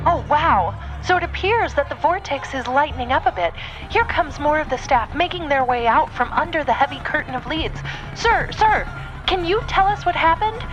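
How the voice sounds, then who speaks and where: High-voiced dramatic field reporter. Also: female voice, expressive, normal speed, highs cut off, over music. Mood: urgent. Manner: dramatic, urgent, emphatic. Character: dramatic female TV field reporter at disaster scene. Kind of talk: mock news report in audio drama.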